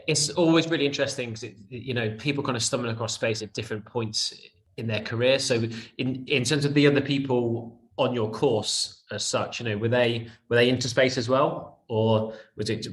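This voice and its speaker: A man's voice: mid-range voice, steady tone, normal speed. A man hosting a podcast, asking loosely worded, rambling interview questions. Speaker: podcast host.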